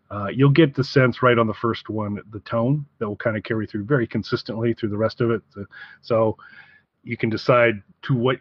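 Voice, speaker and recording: A man co-hosting a casual review podcast, talking haltingly as he repeats and rephrases his way through each point. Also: medium pitch, no room sound. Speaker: podcast co-host. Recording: dry, slightly dull podcast audio.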